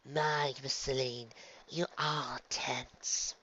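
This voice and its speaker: Guy doing a soft polite spa pony lady, soothing but kinda sinister, storybook character. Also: medium pitch, normal speed, lo-fi sound, slight background noise, natural voice. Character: soothing, slyly menacing female spa attendant pony.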